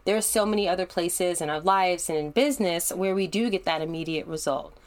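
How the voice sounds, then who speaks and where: A woman's voice: lowish voice, fairly quick. A young adult woman hosting her own podcast, talking in an upbeat, conversational and encouraging way. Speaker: podcast host. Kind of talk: solo podcast monologue.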